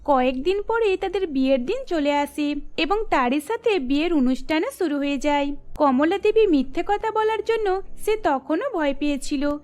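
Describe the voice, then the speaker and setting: A woman's voice: sits high, expressive, normal speed, darkish tone. A woman giving scripted narration for a cartoon story.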